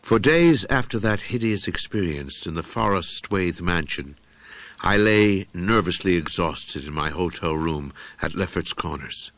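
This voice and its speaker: A man narrating a first-person horror audiobook in a solemn, brooding, deliberate manner with dramatic pauses. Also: deep voice, a bit bright, no echo.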